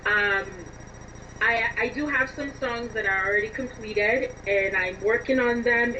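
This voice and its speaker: A woman being interviewed as a writer and musician, answering conversationally in an expressive, emphatic way. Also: medium pitch. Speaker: interviewed playwright and songwriter. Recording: dull, distant mic with faint noise.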